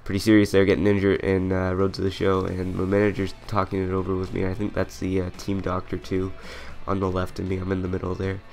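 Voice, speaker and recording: A man's voice: low voice, monotone, fast talker, vocal fry, few pauses, darkish tone. A young man with a cold and a congested voice, rambling through casual gameplay commentary with many fillers and self-corrections. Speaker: gaming commentator.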